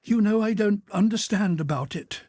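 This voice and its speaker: A woman's voice: medium pitch, normal speed, dark tone, no room sound, clean studio sound, pitched above the performer's own voice, close to an everyday voice. A man reading an audiobook, voicing a proud older countess with a flustered, defensive manner. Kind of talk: audiobook character voice.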